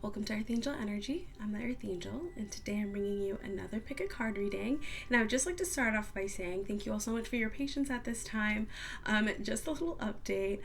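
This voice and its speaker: A woman reading tarot cards in a casual, upbeat monologue, a little scratchy as she gets over a cold, with many soft "um"s. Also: mid-range voice, a little fast, gravelly. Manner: soft, upbeat, lots of "um" and "kind of". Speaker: tarot card reader.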